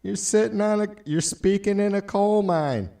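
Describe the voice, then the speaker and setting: A man putting on a shrill taunting heckler. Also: normal speed, gravelly, dark tone, dry recording, clean studio sound. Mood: mocking. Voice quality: high, strained and drawn out.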